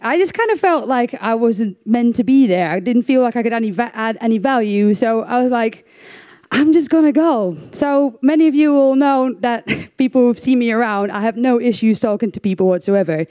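A woman's voice: on the high side, mellow. A young woman from the Netherlands giving a conference talk on stage, chatty and self-deprecating with lots of fillers and restarts. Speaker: conference speaker.

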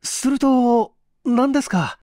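A man voicing a guarded, melancholy gentleman who answers hesitantly and politely in a story reading. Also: extremely high pitch, slow, dry recording, clean studio sound, pitched above the performer's own voice. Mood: puzzled. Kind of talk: acted dialogue in story reading.

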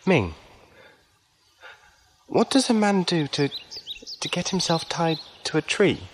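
Man acting a slow, shy wandering musician. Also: on the high side, sing-song, a little hiss or hum. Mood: concerned, curious. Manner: halting, hesitant. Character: gentle, shy, lovelorn male wandering musician. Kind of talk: audio drama acting.

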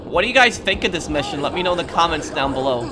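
A man's voice: fairly high pitch, expressive, very fast, a loud crowd. A man giving casual gameplay commentary as the host of a gaming video. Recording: commentary over noisy game sounds.

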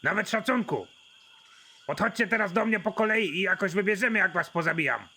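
A man voicing a blunt, bored, sarcastic prison executioner in an animated comedy, deadpan and offhand. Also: high-pitched, brisk, slight background noise, full character voice. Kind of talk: amateur animated comedy acting.

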